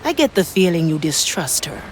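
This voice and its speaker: A woman's voice: fairly low pitch, very animated, moderate pace, slight background noise. An actress playing a bold female pirate navigator in a video game, with a dry, sardonic way of talking. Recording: long reverb over game music.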